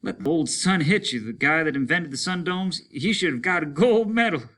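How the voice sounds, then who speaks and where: Older male astronaut for a sci-fi storybook, performed by a man: high, bright, breathless, excitable, with a bitter wry streak. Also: normal speed. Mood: eager, panting. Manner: animated, breathless exclaiming.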